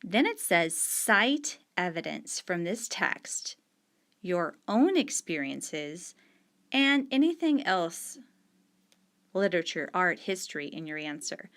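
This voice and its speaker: A middle-aged woman speaks as a teacher walking students through reading questions. She talks in an unhurried, deliberate way, leaning on key words and repeating each question while she marks up the page with a pen. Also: on the low side, very animated, a bit bright. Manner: annotating on screen with a pen while talking. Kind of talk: explaining discussion questions to students.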